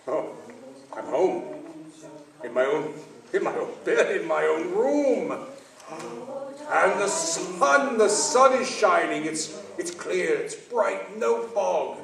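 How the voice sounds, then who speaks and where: A man playing a reformed old miser in a theatrical radio play, excitable and exclamatory, repeating his words haltingly. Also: high-pitched, creaky, crowd noise, exaggerated voice. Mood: giddy joy. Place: stage of a showcase theater. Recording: echoey theater, distant mic, faint noise.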